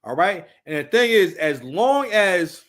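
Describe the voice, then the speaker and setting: A man in his thirties with a somewhat high-pitched voice hosts a casual live stream. He speaks off the cuff and emphatically, circling back over his phrases and swearing as he goes. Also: very animated, a little slow, a bit bright. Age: 30s. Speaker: live stream host giving commentary. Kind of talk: casual, unscripted opinionated commentary.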